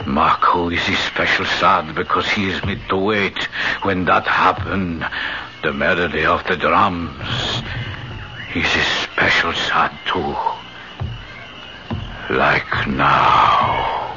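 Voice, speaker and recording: Ancient island omen-reader, gravelly, unhurried, solemn, broken English, radio play. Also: male voice, sits low. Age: older. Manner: slow, cryptic, in broken English. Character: solemn ancient island man reading drum omens. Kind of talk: radio drama acting. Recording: muffled 1970s radio broadcast.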